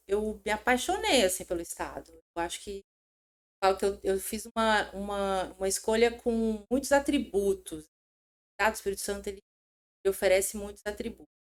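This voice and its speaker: A middle-aged woman from São Paulo, a podcast guest telling stories in an animated, chatty way. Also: medium pitch. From São Paulo, Brazil. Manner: animated, chatty, self-interrupting storyteller. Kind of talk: casual interview chat.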